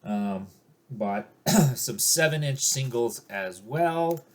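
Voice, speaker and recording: Slow, rambling man showing records. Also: mid-range voice. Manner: relaxed, rambling, many ums. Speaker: record collector vlogger. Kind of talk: casual record show-and-tell.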